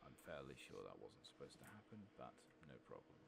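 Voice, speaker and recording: Very deep, creaky soft-spoken man. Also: very animated, a little fast, heavy background noise. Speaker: gaming ASMR video creator. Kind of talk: soft-spoken ASMR game commentary.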